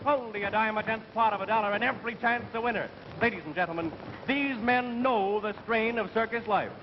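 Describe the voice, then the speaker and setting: An actor playing a harried radio announcer in a 1930s film acting style. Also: male voice, high voice, a little fast, background noise, close to an everyday voice. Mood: booming ballyhoo. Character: harried radio announcer reading bombastic commercials and circus ballyhoo. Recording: muffled 1930s film soundtrack, loud music.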